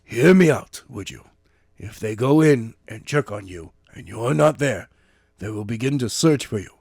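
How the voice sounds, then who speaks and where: Male reader as calm strategist ally, measured, dry close recording. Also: mid-range voice, dry recording. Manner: measured, reasoning. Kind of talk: amateur audiobook reading.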